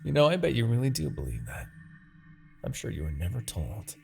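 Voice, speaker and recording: A man playing a haughty, seductive and menacing demon king in a fantasy audio roleplay, with a theatrical, taunting, drawn-out delivery. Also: mid-range voice, huge pitch swings, vocal fry, a little mellow, soft background music, heavily acted. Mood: persuasive, menacing. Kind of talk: scripted fantasy ASMR roleplay acting.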